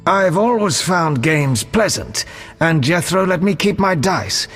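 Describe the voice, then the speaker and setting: Slow, high-voiced wry elf. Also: male voice, sing-song, a bit bright, soft background music. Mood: wry, wistful. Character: wry, courteous male elf prisoner awaiting hanging. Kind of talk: video game voice acting.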